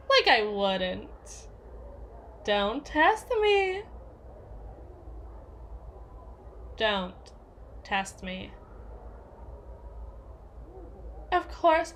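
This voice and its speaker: A woman's voice: high voice, a bit bright, slight background noise, heavily acted. A woman playing a domineering, jealous yandere with biting sarcasm and a taunting, theatrical manner in an ASMR roleplay. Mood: menacing, haughty. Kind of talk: ASMR roleplay audio drama.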